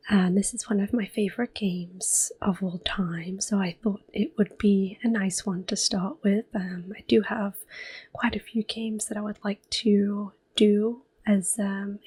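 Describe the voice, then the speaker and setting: A woman giving soft-spoken ASMR gameplay commentary in a gentle, hushed, breathy voice that often drops into a whisper. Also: mid-range voice. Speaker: gaming video host.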